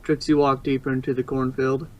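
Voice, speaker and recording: A man's voice: mid-range voice, flat delivery, fairly quick, darkish tone, no room sound, muffled recording. A man reading fan fiction aloud as narrator, informal, sometimes stumbling and rereading a phrase. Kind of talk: casual storybook narration.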